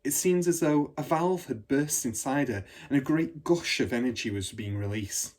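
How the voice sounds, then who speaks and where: A man reading a children's story aloud as expressive scripted narration. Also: medium pitch, moderate pace. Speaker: storybook reader.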